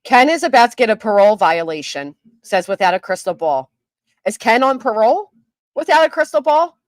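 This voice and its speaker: A woman's voice: fairly high pitch, big pitch swings, a little fast, no echo. A woman giving casual commentary on a live stream, reading chat comments aloud with a skeptical tone.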